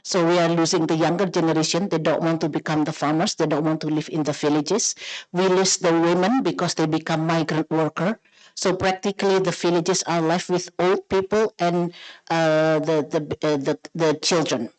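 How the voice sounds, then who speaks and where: A woman with an Indonesian accent and a slightly low voice. She speaks as a founder giving a presentation on a forum panel. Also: fairly even intonation, normal speed, darkish tone, rough recording.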